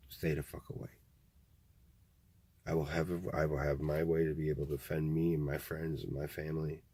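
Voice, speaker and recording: A man in his thirties in a rambling political monologue, halting, full of fillers and frequent swearing. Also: a bass voice, a little mellow, dry recording. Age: 30s. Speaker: video blogger. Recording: faint mains hum.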